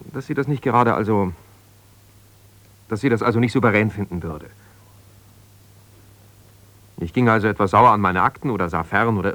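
A fairly low, closely dry-recorded voice of a jealous, wounded husband in a naturalistic radio play. He is a lawyer who explains himself haltingly and colloquially, as if confiding over the telephone. His delivery is self-justifying and aggrieved. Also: a bit bright, no echo. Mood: defensive, sour. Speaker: radio drama actor. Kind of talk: naturalistic radio drama, one side of a phone conversation.

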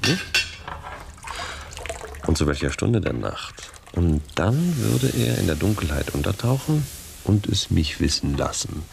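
A domineering police inspector in a radio play, his voice very deep and lively in inflection. He coaxes and needles like a schemer who is used to giving orders. There is faint noise behind him. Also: a little slow, gravelly, pauses often, full character voice. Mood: coaxing, insinuating. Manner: needling, insinuating, leading questions.

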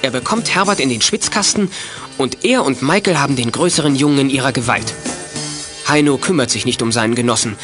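A man's voice: medium pitch, fairly quick, over music, natural voice. A man narrating a children's detective radio play with scripted, dramatic storytelling.

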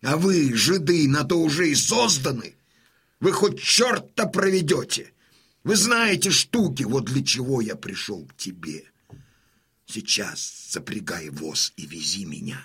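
Raspy old Cossack warrior. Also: male voice, medium pitch, expressive, measured pace, a bit bright, heavily acted. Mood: gruff, commanding. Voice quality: rough, husky. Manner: blunt, peremptory, insistent. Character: gruff, impatient, commanding old Cossack warrior. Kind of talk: full-cast audiobook dramatisation.

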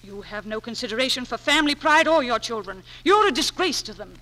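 A woman's voice: on the high side, lively intonation, fairly quick, pushed and bright. A woman playing a haughty, spiteful aunt, formal and imperious, in a 1940s radio drama. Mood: cold, severe. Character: haughty, spiteful aunt guarding the family name.

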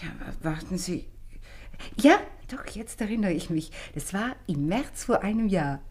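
An actress playing a chatty, excitable widowed landlady in a German radio play, confiding and easily flustered. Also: medium pitch, unhurried, natural voice. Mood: confiding, agitated. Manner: talkative, confiding, excitable, breaks into exclamations.

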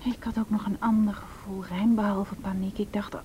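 Female fugitive activist, played by an actress, Netherlands Dutch, hesitant, confiding, soft half-whisper, mellow tone, lightly noisy radio play. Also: level tone, dull audio, lightly acted. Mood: confiding, uneasy. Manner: halting, searching for words. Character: hesitant, introspective, uneasy female activist in hiding. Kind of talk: intimate radio drama acting. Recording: noisy radio drama recording, low rumble.

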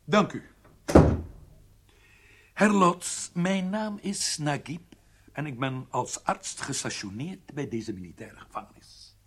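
An actor playing a formal, menacing Egyptian prison doctor who interrogates with measured politeness in a radio drama. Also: male voice, on the high side, animated, fairly bright tone, husky, exaggerated voice. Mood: formal, probing.

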